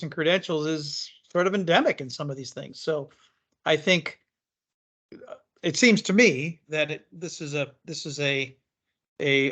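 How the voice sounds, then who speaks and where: A man's voice: a little high, pushed and bright. Man thinking aloud in a meeting. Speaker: committee member. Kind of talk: committee meeting discussion.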